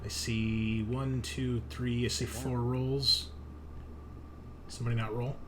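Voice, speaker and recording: A man's voice: mid-range voice, flat delivery, slow, crowd noise. A man running a tabletop game as game master, narrating in a loose, conversational and casually profane way. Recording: noticeable background noise.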